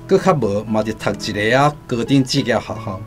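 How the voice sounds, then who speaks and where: Actor as village father, Taiwanese accent, low-pitched, practical, caring, radio play, music behind. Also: normal speed, lightly acted. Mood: matter-of-fact.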